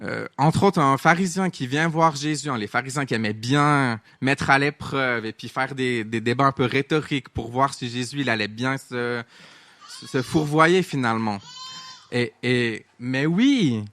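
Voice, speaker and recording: A young man from Canada with a Québécois French accent, preaching a sermon in an earnest, halting way with many restarts. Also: medium pitch, a bit bright, no room sound.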